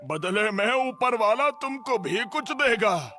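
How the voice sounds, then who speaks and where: A man voicing a humble old male beggar in a cartoon, pleading slowly for alms. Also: high voice, expressive, sharp tone, soft background music, full character voice. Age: older. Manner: pleading, slow blessing.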